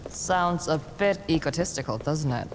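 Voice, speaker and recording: A woman's voice: low-pitched, lively intonation, normal speed, a little hiss or hum. A woman playing a practical, dry-witted adventurer in a video game, blunt, focused and down-to-earth. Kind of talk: dramatic video game dialogue. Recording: prominent music behind.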